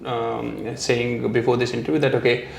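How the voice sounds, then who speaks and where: A man from India hosting a casual interview, friendly and halting, with many fillers. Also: mid-range voice, level tone, creaky. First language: Hindi. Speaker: host. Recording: echoey room with faint ambience.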